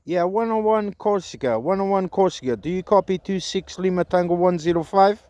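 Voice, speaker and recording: A man making halting, repetitive radio calls and casual commentary during an outdoor antenna test. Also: high-pitched, noisy background. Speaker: amateur CB radio operator testing an antenna. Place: outdoors in a park.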